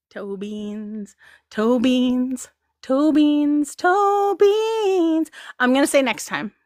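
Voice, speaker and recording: Woman host of a casual stream, giggly and rambling, a touch high-pitched, recorded dry. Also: no echo. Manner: giggly, rambling, reads chat aloud. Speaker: livestream host. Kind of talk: casual livestream chat.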